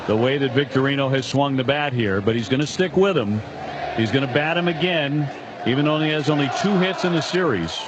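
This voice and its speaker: A man's voice: mid-range voice, a little fast. An American man, a baseball broadcaster, offering conversational commentary during a live game. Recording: live broadcast with loud background noise.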